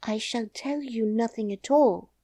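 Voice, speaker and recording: Brief: a woman doing a composed little storybook princess, high, measured and lively, dry close sound. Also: no room sound. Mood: firm. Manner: quiet, composed, firm. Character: calm, dignified little princess girl. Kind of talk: audiobook character voice.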